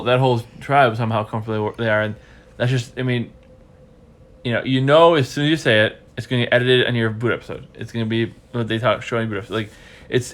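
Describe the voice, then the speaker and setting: A stuffy, congested man hosting a casual podcast recap, rambling and repeating himself as he thinks. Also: medium pitch. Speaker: podcast host.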